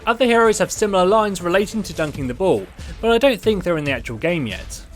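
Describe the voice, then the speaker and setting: Male host for scripted video narration: higher-pitched, lively, over light background music. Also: fast.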